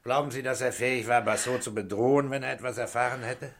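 A man's voice: medium pitch, steady tone, fast, a bit bright. A man acting a stern, terse middle-aged police commissioner in a 1960s German radio play, giving orders and asking curt questions. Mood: calm, probing.

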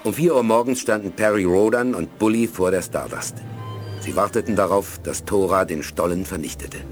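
A man with a deep voice narrates a German radio drama from a script. Music plays clearly beneath his storytelling. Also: moderate pace.

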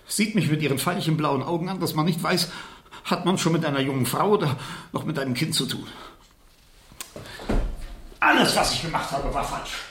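An actor playing a middle-aged, guilt-ridden uncle in a radio play, agitated, helpless and full of self-reproach. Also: male voice, a little high, pushed and bright. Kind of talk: 1970s German radio play dialogue. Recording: roomy, echoing radio studio sound.

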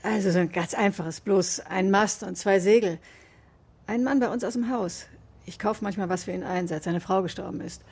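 An actress playing an inquisitive, composed amateur sleuth in a naturalistic radio drama, with a husky voice. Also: female voice, mid-range voice, normal speed, a little mellow. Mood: polite, probing.

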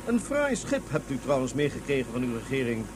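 A man's voice: medium pitch, wildly swooping pitch, fairly quick. A man playing a courteous, secretive ruler travelling as a guest, speaking in formal, urbane phrases in a science-fiction radio play. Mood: courteous, probing. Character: courteous, smooth-talking male ruler, secretive guest aboard. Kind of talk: Dutch science-fiction radio drama acting. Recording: clear buzzing ship noise behind.

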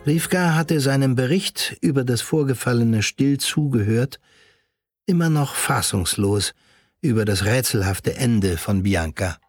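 A man narrating a literary radio drama in a measured storytelling way. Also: mid-range voice, animated, dry recording, faint music behind.

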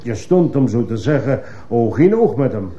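An actor playing a stern, sceptical judge questioning a witness with authority in a radio drama. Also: male voice, mid-range voice, big pitch swings, normal speed, mellow, natural voice. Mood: probing, sceptical. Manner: authoritative, probing. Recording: muffled, slightly noisy old radio recording.

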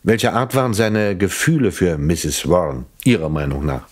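An actor playing a polite, probing police inspector in a German radio crime play, questioning with courteous insistence. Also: male voice, low voice, moderate pace, slightly rough.

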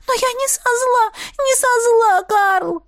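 A woman voicing a frantic, tearful young maidservant in an audiobook, shrill, wailing and pleading. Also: sing-song, brisk. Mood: tearful, remorseful. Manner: shrill, wailing, overwrought delivery.

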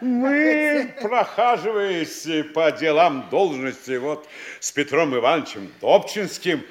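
An actor playing a scheming, obsequious middle-aged provincial mayor in a theatrical radio play, fawning aloud and muttering slyly to himself. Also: male voice, on the high side, very animated, takes it slowly. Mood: ingratiating. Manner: fawning speech broken by muttered sly asides. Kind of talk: theatrical Russian classic radio play acting.

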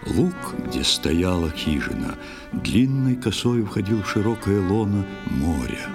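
A man narrating a poetic old tale for a children's audio play in a measured, expressive way, with drawn-out phrases. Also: low voice. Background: music.

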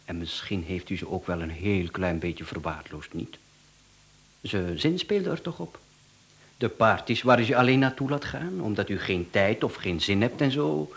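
Smooth, mocking hired killer, lively intonation. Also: male voice, lowish voice, normal speed. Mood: insinuating. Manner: polite, teasing, insinuating. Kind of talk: radio play acting.

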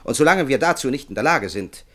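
An actor playing a grave, cautious scientific authority in a radio play, speaking formally and with emphasis. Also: male voice, medium pitch, expressive, fairly quick, sharp tone. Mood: grave warning. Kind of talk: German science-fiction radio play acting.

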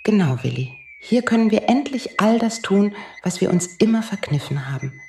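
A woman playing a flirtatious, sharp-tongued space traveller in an audio drama, teasing and suggestive in manner. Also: mid-range voice, lively intonation, normal speed, a little hiss or hum, close to an everyday voice. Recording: roomy echo.

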